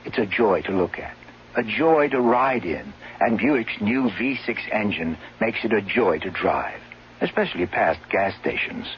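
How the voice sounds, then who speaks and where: A man's voice: fairly low pitch, animated, unhurried, slight background noise. A man reading a scripted 1970s radio commercial in a friendly, folksy way. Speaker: commercial announcer. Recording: dull, humming 1970s radio recording.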